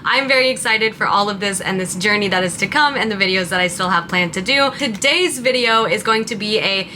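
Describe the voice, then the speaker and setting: A woman's voice: medium pitch, brisk, sharp tone, a little hiss or hum. A woman in her late twenties, from Montana and raised in Southern California, chatting casually and rambling while doing her makeup at home. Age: 20s. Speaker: content creator. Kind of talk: casual get-ready-with-me chat.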